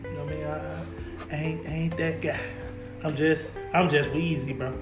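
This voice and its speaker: A man's voice: on the high side, level tone, moderate pace, over loud music. Laid-back slangy young man, echoey room. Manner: laid-back slangy talk, repeats words, laughs often. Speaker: podcast host, local car photographer. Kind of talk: casual chat.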